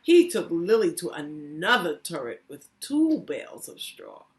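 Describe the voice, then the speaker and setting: A woman hosting storytime, reading a children's story aloud with expressive, deliberate phrasing. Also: on the low side. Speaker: storytime host, reading teacher.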